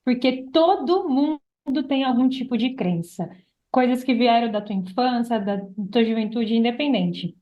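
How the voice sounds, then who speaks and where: A woman's voice: fairly high pitch, animated, measured pace, lo-fi sound. A Brazilian woman guesting on a podcast, giving casual, earnest advice with short check-in questions.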